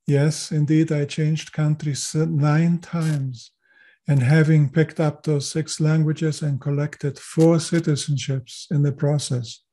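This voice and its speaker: A man in his seventies speaks with a mellow, slightly raised voice. He is a native German speaker who grew up in Chile. He gives measured answers as an interview guest. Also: a little high. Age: 70s. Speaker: GIS consultant and lecturer, interview guest.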